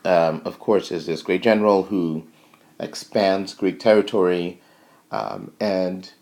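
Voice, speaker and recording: A man lecturing informally in a halting, think-aloud way, often checking in with short tags as he explains. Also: sits low, a little mellow.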